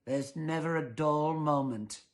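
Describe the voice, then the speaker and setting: A man acts out a dull father from a children's storybook. He speaks slowly and carefully, stretching out his words. He is easily alarmed by anything interesting and craves boredom. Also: on the high side, close to an everyday voice. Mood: worried. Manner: slow, drawn-out, measured.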